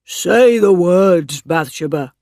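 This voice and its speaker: A man reading the part of a middle-aged, lovesick farmer in an audiobook, speaking slowly and earnestly. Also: sits high, lively intonation, a little mellow, dry recording, studio quality, full character voice. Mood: pleading. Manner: slow, earnest, pleading.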